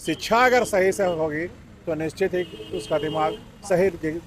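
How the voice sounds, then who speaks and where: Emphatic eastern man, slightly high voice. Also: moderate pace. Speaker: member of the public being interviewed. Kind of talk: spontaneous opinion in a street interview. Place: outdoors in an urban area. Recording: outdoor street with faint traffic noise.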